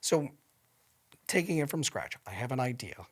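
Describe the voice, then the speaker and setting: A man's voice: medium pitch, very animated, normal speed. A man with a nasal voice, a podcast guest talking loosely with lots of fillers. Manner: loose, rambling with many fillers. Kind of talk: casual interview chat.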